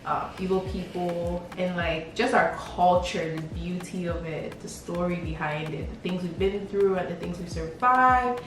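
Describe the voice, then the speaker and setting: Young woman, lowish pitch, casual playful chat, music behind. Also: measured pace, hardly any pauses. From Lagos, Nigeria. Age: young adult. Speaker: vlogger answering questions about herself. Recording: echoey room, distant mic, background beat.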